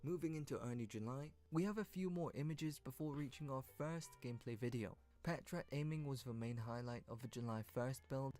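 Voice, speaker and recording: A man's voice: medium pitch, a little fast, hardly any pauses, darkish tone. A man reading scripted, informative narration for an online video. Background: background music.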